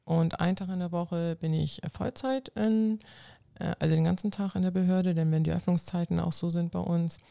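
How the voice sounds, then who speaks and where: Gravelly woman, earnest. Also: fairly low pitch, steady tone, normal speed, dark tone, no echo. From North Frisia, northern Germany. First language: Low German. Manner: earnest, explains at length with restarts. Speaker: guest, coach and civil servant. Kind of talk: casual interview answers.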